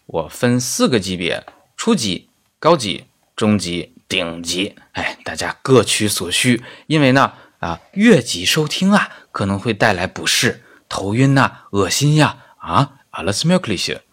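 Lively male Chinese language teacher. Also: on the low side. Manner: didactic, conversational particles, slow careful example sentences. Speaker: German grammar teacher. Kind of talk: scripted audio lesson with casual asides.

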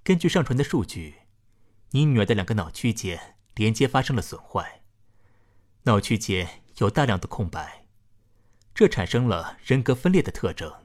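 An actor playing a calm, formal doctor in a science-fiction radio drama, speaking in a measured, polite, clinical way. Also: male voice, lowish voice. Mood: clinical, explanatory. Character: calm, formal male doctor delivering a diagnosis.

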